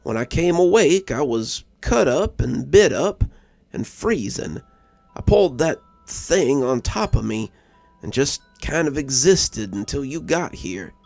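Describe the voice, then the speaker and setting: A man's voice: medium pitch, big pitch swings, measured pace, gravelly, a little mellow, dry recording, soft background music, heavily acted. A man voicing a wounded young backwoods man who recounts a monster attack in a story. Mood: grim, haunted. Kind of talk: first-person storytelling monologue.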